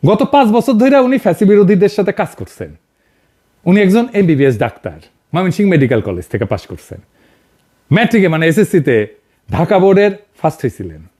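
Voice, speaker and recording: A man's voice: sits high, very animated, fairly quick, a little mellow. A man with a northern Bangladeshi accent giving informal political commentary, colloquial, sarcastic and emphatic, addressing people directly. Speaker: video commentator and host.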